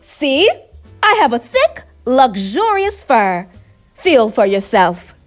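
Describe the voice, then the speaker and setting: A woman's voice: high voice, very animated, takes it slowly, full character voice. A woman voicing a vain, pompous, easily flustered male fox in a storybook, speaking in wordy, indignant phrases, with music behind. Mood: boastful. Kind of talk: storybook character voice.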